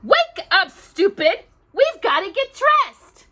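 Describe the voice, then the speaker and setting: Woman playing shrill, bossy, spoiled young stepsister. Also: sing-song, slow pace, pushed and bright, full character voice. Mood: irritable snapping. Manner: whining, snapping, demanding.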